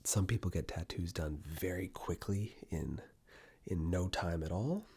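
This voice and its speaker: A man with a deep, gravelly voice speaks very breathily in a hushed ASMR style. He rambles softly to the viewer, often slipping into a whisper. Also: a little slow. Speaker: ASMR video creator and host. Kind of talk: ASMR, soft-spoken personal ramble to camera.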